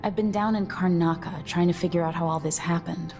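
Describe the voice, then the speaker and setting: A woman plays a deposed young empress in a video game. Her voice is very low, firm and controlled, with grave determination. Soft music sits behind her. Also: fairly even intonation, fairly quick, natural voice. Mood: resolute. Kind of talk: scripted video game dialogue.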